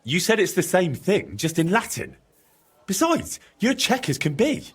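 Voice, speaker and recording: An actor playing an earnest young medieval adventurer in a video game, direct and questioning, with a slightly husky voice. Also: male voice, high-pitched, wildly swooping pitch, unhurried, fairly bright tone, heavily acted. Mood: probing. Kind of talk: video game dramatic dialogue.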